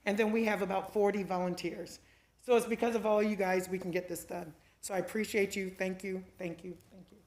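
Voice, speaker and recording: A woman's voice: mid-range voice, steady tone, fairly quick, darkish tone, roomy sound. A woman with a Midwestern American accent, heading a health department, giving a heartfelt speech of thanks at a city council meeting. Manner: heartfelt, listing people to thank.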